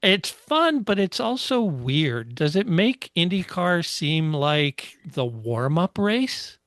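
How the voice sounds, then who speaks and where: Slow-talking man, casual racing chat. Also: on the high side, sing-song, fairly bright tone, dry recording, studio quality. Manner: measured, explains racing history with many fillers. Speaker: podcast co-host.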